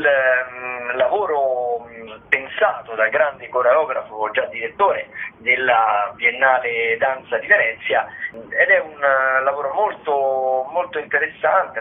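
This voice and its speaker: A man giving an informal, wordy radio rundown of theatre listings, halting as he searches for words. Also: mid-range voice. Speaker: theatre radio show contributor. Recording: tinny phone line with noise.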